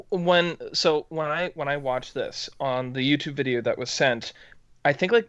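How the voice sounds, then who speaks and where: A man co-hosting a casual review podcast, building his points haltingly and rephrasing as he goes. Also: mid-range voice, fairly bright tone, dry recording. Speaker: podcast co-host reviewing music. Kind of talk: casual chat, critique. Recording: dry, slightly muffled lo-fi audio.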